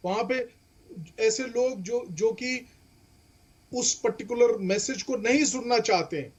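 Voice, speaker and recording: A man's voice: very high-pitched, a little slow, husky. A man, explaining legal points emphatically as a panelist and mixing in English terms. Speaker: legal expert panelist on a debate show.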